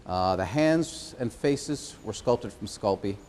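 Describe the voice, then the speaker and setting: A man giving an informal explanation as a puppeteer presenting a show, pausing and hesitating as he goes. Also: lowish voice, animated, a little mellow, a little hiss or hum.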